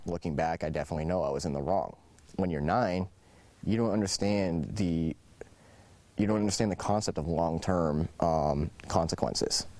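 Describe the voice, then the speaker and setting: Young male interviewee, around 20, very deep, dry sound, halting personal account. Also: darkish tone, dry recording. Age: about 20. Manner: halting, repeats phrases. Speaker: anonymous interviewee.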